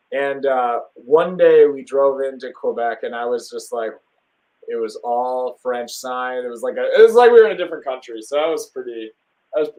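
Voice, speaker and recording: A man's voice: medium pitch, a little mellow, poor audio. A young man from Wisconsin hosting a casual live stream, talking enthusiastically and rambling with plenty of fillers and restarts.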